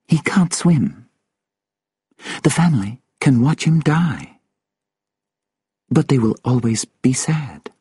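Male narrator as grieving woman, raised pitch, soft husky delivery, deliberate, guarded, audiobook drama. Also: animated, no echo, studio quality, heavily acted. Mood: solemn, earnest. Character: grieving, solemn African woman interpreter, nervous and guarded.

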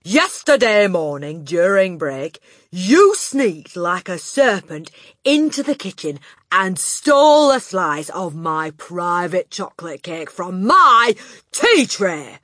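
A woman voicing a brutal, tyrannical female headmistress who shouts slowly and booms. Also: very animated, no room sound, full character voice. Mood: furious. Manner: shouting, slow and booming.

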